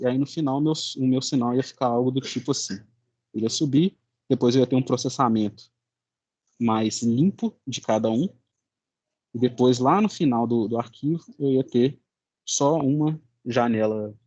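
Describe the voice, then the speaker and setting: A young man with a Brazilian Portuguese accent, giving an informal student presentation in a halting, colloquial way. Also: mid-range voice, a little mellow, no echo. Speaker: student presenting a final project.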